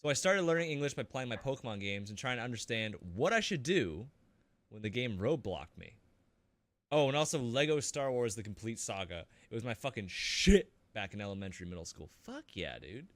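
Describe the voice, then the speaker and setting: A man's voice: medium pitch, sing-song, dry recording. Jokey man, dry close sound. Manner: jokey and profane, quick to laugh. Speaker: podcast co-host reading viewer comments. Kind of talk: casual gaming podcast banter.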